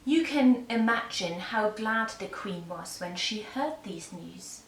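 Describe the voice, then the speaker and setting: Woman telling a fairy tale to little kids, slow and lilting, gentle, echoey room. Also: medium pitch, lively intonation, slight background noise. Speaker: puppet-show storyteller. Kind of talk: fairy-tale narration for small children. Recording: echoey room, distant microphone.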